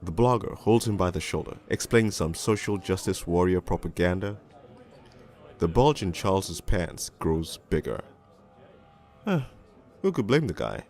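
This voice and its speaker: A man playing a crude, cynical police detective, narrating a crime storybook in the first person in a gravelly, world-weary, deliberate way. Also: deep voice, very animated, darkish tone. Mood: wry, sardonic. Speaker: narrator and lead voice actor in an animated storybook.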